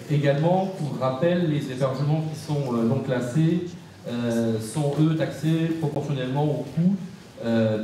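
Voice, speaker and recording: A man presenting a report to a council as vice-president, formally but haltingly, with frequent pauses and repeated words. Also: medium pitch, mellow. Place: large indoor council meeting room. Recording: echoey council hall, distant mic, faint noise.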